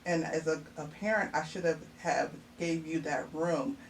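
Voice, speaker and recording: A woman's voice: sits low, fairly even intonation, normal speed. A middle-aged woman, a mother, talking casually and reflectively on a podcast, laughing often and using many fillers. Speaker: guest, mother of the host. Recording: distant mic with faint noise.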